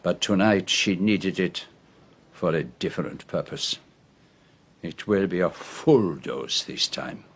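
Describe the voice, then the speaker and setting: An actor playing a shrewd, courtly Belgian detective in a radio drama, speaking precisely and formally with a Belgian French accent. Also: male voice, low voice, expressive, takes it slowly, breathy edge, exaggerated voice. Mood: grave.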